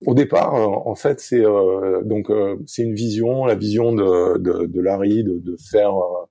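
Low gravelly man, halting speech. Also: steady tone, dark tone, dry recording. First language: French. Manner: halting, many fillers and restarts. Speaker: sailing coach, guest. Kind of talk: hesitant conversational interview answers.

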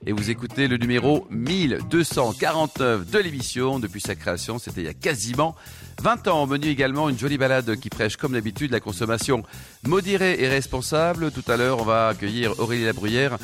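A man hosting a radio talk show, introducing guests in a brisk, upbeat announcer manner from a studio. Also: medium pitch, animated, a bit bright, faint music behind.

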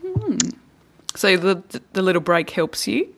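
A woman's voice: medium pitch, big pitch swings, measured pace, fairly bright tone, a little hiss or hum. A woman hosting a podcast, asking guests questions in a casual, playful way. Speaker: podcast host. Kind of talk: casual interview.

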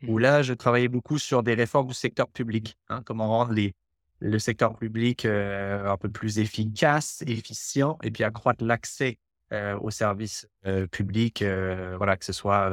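A man's voice: fairly low pitch, moderate pace, dry recording, studio quality. A man from Quebec, a podcast guest who speaks with many hesitations and restarts. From Canada (Quebec). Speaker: guest, development researcher. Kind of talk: podcast interview.

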